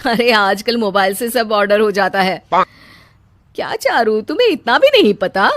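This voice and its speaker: A woman voicing a haughty, educated young sister-in-law in a cartoon story, with a sharp, condescending scolding manner. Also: medium pitch, expressive, normal speed, natural voice. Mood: mocking.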